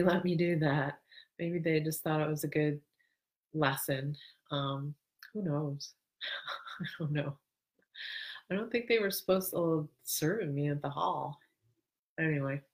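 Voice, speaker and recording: Low-voiced stuffy woman rambling on her podcast. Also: a bit bright. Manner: rambling, self-correcting, laughs now and then. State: stuffy, congested. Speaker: podcast host. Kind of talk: casual solo podcast monologue.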